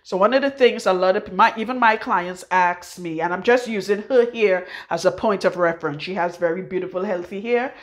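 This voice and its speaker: A middle-aged Trinidadian woman giving a frank, forceful hair-care talk as a loctician in her salon. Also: medium pitch, a little fast, hardly any pauses. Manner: blunt, forceful, frank, swears freely. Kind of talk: casual hair-care tutorial.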